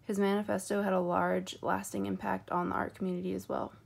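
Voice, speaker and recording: Young woman with a gravelly voice. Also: medium pitch, level tone, fairly quick. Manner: hesitant, many ums and hedging words. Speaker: student presenter. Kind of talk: class presentation.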